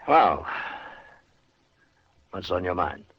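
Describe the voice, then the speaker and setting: An American actor playing a calm, dry, steely frontier marshal in a 1950s radio drama. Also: male voice, deep voice, drawn-out words, husky, exaggerated voice. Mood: cool, steady. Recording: muffled, hissy 1950s radio recording.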